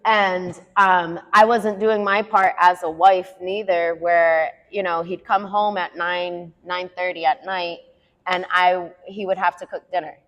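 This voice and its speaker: A woman speaks candidly on a podcast, her voice slightly low and full of life. She laughs easily and speeds up when she vents. The sound carries a long, hall-like reverb. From Puerto Rican heritage. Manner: animated, laughs often, quick bursts when venting. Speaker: podcast co-host. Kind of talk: candid casual chat.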